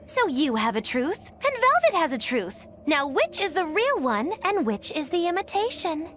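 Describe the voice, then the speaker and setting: Very high, theatrical riddling witch girl. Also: sing-song, moderate pace, fairly bright tone, slight background noise, exaggerated voice. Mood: needling. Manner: theatrical, cryptic. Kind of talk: English video game voice acting. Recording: game music under the voice.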